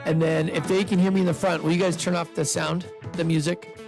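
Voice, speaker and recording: A man hosting a live pitch event, speaking casually into a handheld microphone to an audience in an indoor room, chatty and upbeat with loose restarts. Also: level tone, a little fast, creaky, music in the background. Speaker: event host and investor.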